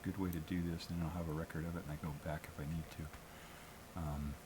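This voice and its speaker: An American man chatting casually and unscripted on a solo livestream, in a halting, hesitant, self-critical way. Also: a bass voice, little pitch movement, noisy background. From New England, US. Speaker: amateur live streamer.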